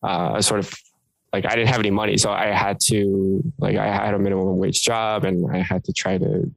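A man's voice: on the low side, little pitch movement, brisk, gravelly, no room sound, poor audio. A Korean-born young man telling his story as a podcast guest, rambling with lots of fillers and restarts. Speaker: guest entrepreneur. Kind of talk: casual interview talk.

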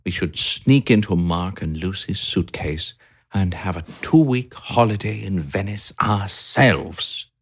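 A man voicing a restless male pet hamster who longs for adventure, in a hushed, wistful, drawn-out voice. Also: sits low, breathy edge, heavily acted. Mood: scheming.

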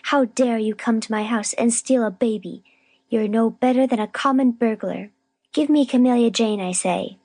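A woman reading a storybook, playing a stern, sharp-tongued spinster with a grim, scolding manner. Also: on the high side, moderate pace, no room sound, voice pushed up, lightly acted. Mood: furious demanding. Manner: grim, firm, scolding, sniffy.

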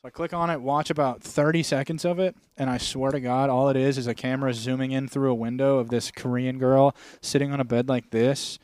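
A man's voice: medium pitch, level tone, fairly quick, hardly any pauses, darkish tone, dry recording. Young man riffing crude podcast comedy. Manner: swearing, riffing bits in joke voices. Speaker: podcast co-host. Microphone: studio podcast microphone.

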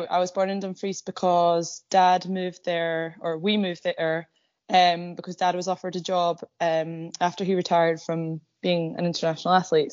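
Scottish woman, slightly croaky, lowish voice, dull dry lo-fi sound, casual interview guest. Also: dry recording. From Scotland. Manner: relaxed, many ums, light laughs. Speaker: guest athlete.

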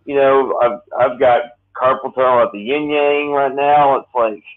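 A man in his late thirties hosting a casual podcast chat, talking in a rambling, halting way with many restarts. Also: medium pitch, fairly even intonation. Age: late 30s. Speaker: podcast host. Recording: tinny phone-like line.

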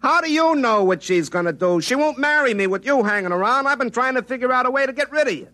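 An actor playing an excitable, folksy small-town phone repairman who tells his own story in a classic radio drama, wry and easily flustered. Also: very high voice, quick pace, no echo, exaggerated voice. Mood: indignant. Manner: folksy, wry narration turning to flustered, stammering pleading. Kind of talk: classic radio drama acting with first-person narration. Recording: vintage radio recording, faint music.

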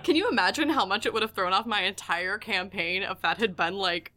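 A woman's voice: mid-range voice, brisk, bright, forceful tone. A woman narrating a tabletop audio drama as game master, reading scripted lines with playful asides.

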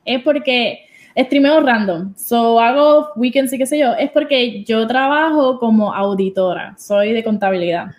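A young woman with a Puerto Rican accent, talking in a relaxed, chatty way and slipping English words like "so" into her Spanish. Her voice sits fairly high, and she tells her stories quickly and easily. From Puerto Rico. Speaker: guest streamer. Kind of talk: casual chat on a podcast.

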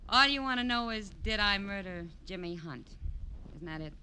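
Brassy, sharp-voiced bitter dame. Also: female voice, mid-range voice, expressive, moderate pace. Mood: bitter, mocking. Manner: slangy, sardonic. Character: brassy, bitter, flirtatious hard-drinking dame. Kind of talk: 1950s radio crime drama acting. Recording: noisy old radio broadcast.

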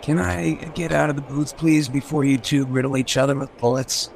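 An actor playing a roguish, wary, wisecracking mayor in a radio drama, casual and sly. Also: male voice, mid-range voice, fairly even intonation, normal speed, gravelly, darkish tone, slight background noise, close to an everyday voice. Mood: wary, exasperated.

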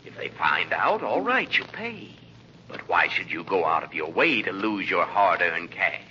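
Sly tempting conscience, low and very cutting, muffled old radio comedy. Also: male voice, sing-song, unhurried, bright, forceful tone, exaggerated voice. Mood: coaxing, cynical. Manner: insinuating, persuasive, wry. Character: sly, tempting inner conscience of a man, cynical and insinuating. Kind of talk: 1940s radio comedy acting. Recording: muffled old radio recording, faint noise.

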